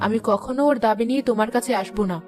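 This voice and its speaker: A woman voicing a gentle, self-sacrificing young queen with a soothing, resolute manner. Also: on the high side, racing, music in the background, voice pushed down, natural voice. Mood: tender resolve.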